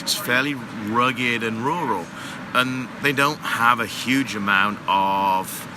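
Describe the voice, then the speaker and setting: A man with a low voice explains things at a loose, wandering pace, full of ums and asides. He speaks as a guest on a busy trade show floor. The background chatter of the hall is clearly audible. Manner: explanatory, rambling with fillers. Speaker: guest, water heater company manager. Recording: noisy expo floor background.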